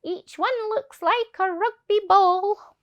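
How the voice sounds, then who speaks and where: A woman's voice: very high voice, slow pace, lo-fi sound, pitched above the performer's own voice. A woman voicing a sly female goose in a children's story, wheedling and persuasive.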